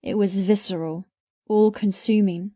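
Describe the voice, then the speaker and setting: A woman reading first-person romance fiction as an audiobook narrator, with intense, expressive delivery. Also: mid-range voice, unhurried, dry recording, muffled recording.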